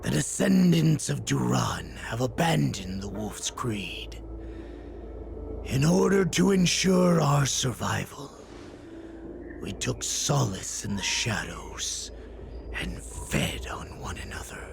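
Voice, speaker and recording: A savage, wounded wolf warrior from a video game, proud and fanatical. The voice is a heavily creaking growl that drags each phrase out very slowly and swings widely in pitch over music. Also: male voice, sing-song, a little hiss or hum, heavily acted. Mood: solemn devotion. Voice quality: gravelly, breathy growl. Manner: slow, solemn, dramatic delivery.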